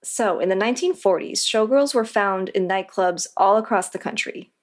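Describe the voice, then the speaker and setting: A woman with a medium-pitched voice speaks as an interview guest. Her delivery is scripted and explanatory, with a conversational touch. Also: normal speed.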